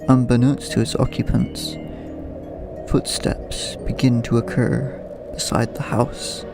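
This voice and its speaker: Man, horror narrator, gravelly voice, measured suspenseful delivery, music underneath. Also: medium pitch.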